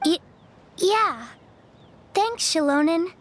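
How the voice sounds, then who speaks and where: A woman's voice: very high-pitched, expressive, slow, slight background noise, heavily acted. A woman voicing a timid, sheltered little girl in a video game, small-voiced, hesitant and childlike.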